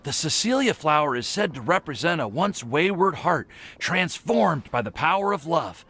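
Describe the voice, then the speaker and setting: A man's voice: a little high, sing-song, moderate pace, a bit bright, husky, full character voice. A man playing an earnest, flustered young alchemist in a video game, hesitant but formal in his wording. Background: faint game music.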